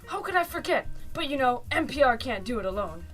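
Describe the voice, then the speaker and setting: A woman's voice: high voice, sing-song, a little fast, soft background music. A woman playing a no-nonsense, exasperated female police boss in a comic radio drama. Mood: dry, earnest. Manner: stern, exasperated.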